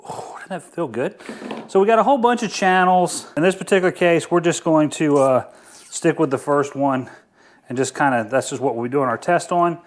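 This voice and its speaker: A man with a somewhat higher-pitched voice gives a casual product review. He talks in an informal, easygoing way, thinking aloud as he handles an unboxing. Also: moderate pace.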